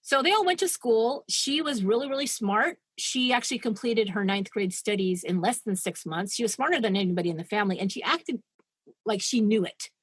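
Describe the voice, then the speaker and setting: A woman's voice: medium pitch, lively intonation, fairly quick, breathy edge, dry recording. A woman co-hosting a podcast, retelling a true-crime story in a chatty, digressive way and laughing at her own asides. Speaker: podcast co-host.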